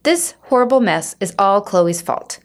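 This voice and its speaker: A woman reading a children's book aloud as an expressive storyteller, acting out the dialogue. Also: mid-range voice, measured pace.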